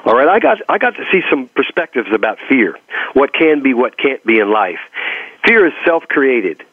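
A man's voice: mid-range voice, sing-song, moderate pace, no echo. A man with a Texas accent speaks like a motivational coach being interviewed. He leans hard on key words and repeats phrases to drive them home. The sound is dry, narrow and muffled, like lo-fi radio or a phone call. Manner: emphatic, repeating phrases for effect. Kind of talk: motivational talk and personal storytelling in an interview.

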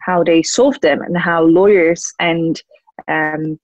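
A young woman with a Czech accent answers interview questions conversationally, often pausing mid-sentence as she goes. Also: sits low, steady tone, no echo. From Czech Republic. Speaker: guest, lawyer and compliance officer.

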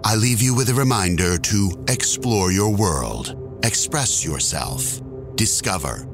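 A man hosting a show, reading a scripted sign-off in a slow, measured announcer style. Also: lowish voice, expressive. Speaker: show host. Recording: music playing underneath.

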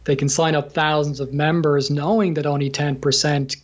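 An Irishman with a husky, somewhat high voice, a gym owner talking through his path in a casual interview. He speaks haltingly, full of ums and restarts, often chuckling mid-sentence. The sound is dull and lo-fi. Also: steady tone. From Ireland.

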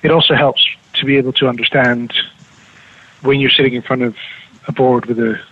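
A man with a New Zealand accent, a guest on a remote radio interview, talking quickly with many restarts and fillers. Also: medium pitch, steady tone, phone-quality sound, slight background noise. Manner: fast, with frequent restarts and fillers. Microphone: remote call with slight lag.

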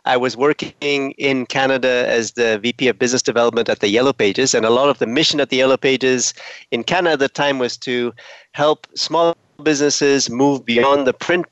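A man's voice: medium pitch, brisk, fairly bright tone, dry recording. Ethiopian-born man giving thoughtful answers. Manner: thoughtful, with frequent fillers. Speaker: company founder, interview guest. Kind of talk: interview answers. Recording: dry, slightly dull sound.

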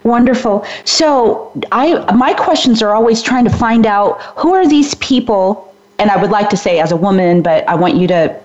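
Woman host, curious, encouraging, mid-pitched, slightly muffled sound. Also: expressive, moderate pace, darkish tone. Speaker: interview host. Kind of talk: conversational interview.

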